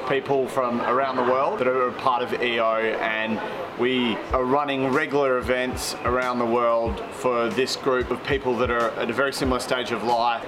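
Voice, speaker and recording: A man's voice: mid-range voice, background noise. A young man in his twenties, an entrepreneur giving an earnest interview testimonial in long, flowing explanations. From Australia. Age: 20s. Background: loud music.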